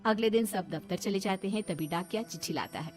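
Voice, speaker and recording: A woman narrator with a mid-pitched voice reads a scripted moral story. It is told as cartoon storytelling, with music playing behind her. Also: fairly quick, darkish tone.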